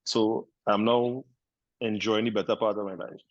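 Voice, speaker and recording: A man in his fifties speaking on a panel about his life, relaxed and chatty with frequent restarts. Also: on the low side, normal speed, dry recording, muffled recording. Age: 50s. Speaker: panel guest. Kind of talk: casual chat.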